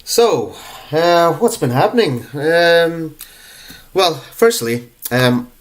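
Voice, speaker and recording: A man's voice: on the high side, lively intonation, very slow. A young adult man with a cold, hosting a live online prize draw in a casual, chatty way with plenty of ums and uhs. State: stuffed up with a cold. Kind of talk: casual live-stream chat.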